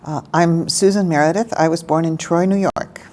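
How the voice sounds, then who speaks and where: A woman in her sixties who grew up in Alabama, answering oral history questions in a thoughtful, measured way with pauses. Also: lowish voice, fairly even intonation, mellow. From Alabama, American South. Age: 60s. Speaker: interviewee, lawyer.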